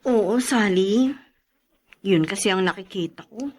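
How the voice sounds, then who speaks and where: An actress playing a sensitive, insecure young wife in a radio drama. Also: female voice, medium pitch, unhurried, close to an everyday voice. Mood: worried. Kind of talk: Filipino radio drama acting.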